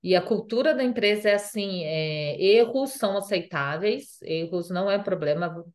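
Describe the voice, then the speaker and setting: A woman's voice: mid-range voice, lively intonation, normal speed, darkish tone. A woman from Brazil with a Brazilian Portuguese accent, chatting casually as an interview guest, full of fillers and repeated phrases.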